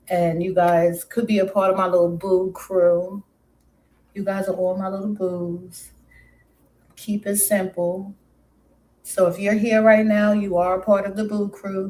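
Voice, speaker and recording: A woman's voice: mid-range voice, a little mellow, a little hiss or hum. Chatty woman with a mixed New York accent. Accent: mixed New York and West Indian. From Brooklyn, New York. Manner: chatty, greets viewers by pet names. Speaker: live stream host. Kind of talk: casual live stream chat.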